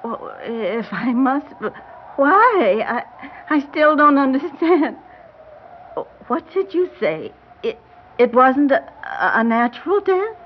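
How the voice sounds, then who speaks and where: An actress playing a bewildered, grieving elderly grandmother in a radio drama, halting and repeating herself in a quavering voice. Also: high-pitched, expressive. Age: older. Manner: halting, repeating words in distress. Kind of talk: old-time radio drama acting. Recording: dull, hissy classic radio recording.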